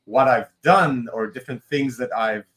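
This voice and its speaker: A man's voice: medium pitch, normal speed, a bit bright, slight background noise. A man in his forties from Israel, a Hebrew speaker, talking casually as an interview guest, rambling with fillers and asides. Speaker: guest, creative director and comic writer.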